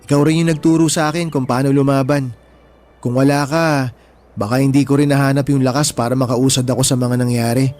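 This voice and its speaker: A man's voice: medium pitch, fairly even intonation, a little fast, a little mellow, natural voice. A man playing an affectionate, boyish younger brother in a Filipino radio drama, earnest, with a Filipino accent. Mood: sentimental.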